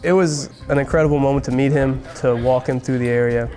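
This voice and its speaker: Male air traffic controller, measured interview recount, flat pitch, soft music under. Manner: measured, explanatory.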